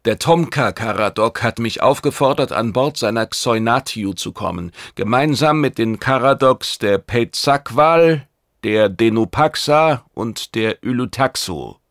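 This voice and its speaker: A man's voice: medium pitch, lively intonation, a little slow, fairly bright tone, no room sound, clean studio sound. A man voicing a brash, domineering alien warship commander in a science fiction audiobook, blunt and commanding. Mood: boastful.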